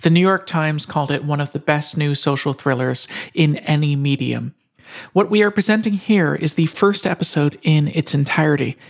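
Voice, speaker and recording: A man presenting a podcast in a scripted, measured introduction. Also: fairly high pitch, gravelly.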